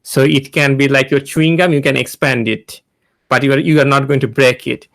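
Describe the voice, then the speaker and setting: Guest speaker, thirties, Bangladeshi accent, halting and gravelly, dry video-call sound. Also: male voice, mid-range voice, fairly even intonation, a bit bright, no room sound. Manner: hesitant, many fillers and restarts. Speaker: guest speaker, NASA engineer. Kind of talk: informal talk to students on a video call.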